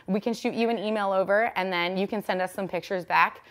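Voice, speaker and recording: A woman's voice: mid-range voice, fairly even intonation, fairly quick. A woman in her twenties improvising a businesslike prank call as a casting producer, recorded in a living room. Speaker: prank caller.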